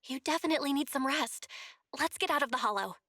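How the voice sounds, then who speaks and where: An actress playing a friendly, curious young heroine in a video game, with an earnest, casual manner. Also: female voice, high voice, lively intonation, fast talker, pushed and bright, no room sound. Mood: concerned, caring.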